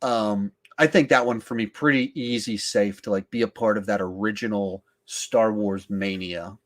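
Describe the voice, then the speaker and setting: A man's voice: fairly low pitch, steady tone, normal speed. A man hosting a podcast, chatting casually about films in an emphatic way, often thinking aloud. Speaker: podcast host. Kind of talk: casual film discussion.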